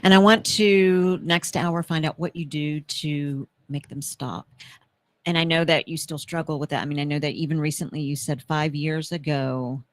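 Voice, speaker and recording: A woman's voice: low-pitched, fairly even intonation, moderate pace. A woman in her mid-fifties hosting a talk show, speaking earnestly and reflectively about purpose and faith. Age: 50s. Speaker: host.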